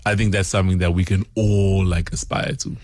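A man's voice: low voice, level tone, brisk, darkish tone, no room sound. A South African man chatting casually and reflectively on the radio. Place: radio studio.

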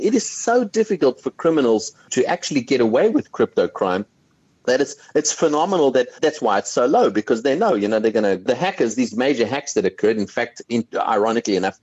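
A man from South Africa with a South African accent, answering interview questions about crypto, animated and often repeating words as he starts a thought. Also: mid-range voice, breathy edge, dry recording, highs cut off. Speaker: crypto commentator. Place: Copenhagen.

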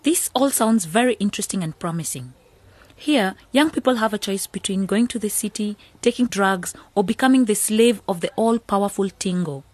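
A woman's voice: mid-range voice, lively intonation, normal speed, a little mellow, no echo, close to an everyday voice. An actress playing a skeptical young woman who leads a village youth association, in a radio drama.

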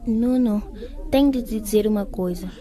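A woman's voice: medium pitch, normal speed, mellow, lightly acted. A woman playing an anxious, pleading pregnant teenage African schoolgirl in a radio drama. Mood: hesitant.

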